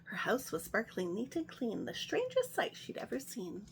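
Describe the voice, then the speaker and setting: A woman hosting a children's story time, reading a picture book aloud in a gentle, sing-song way. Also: fairly high pitch, wildly swooping pitch, moderate pace, slight background noise.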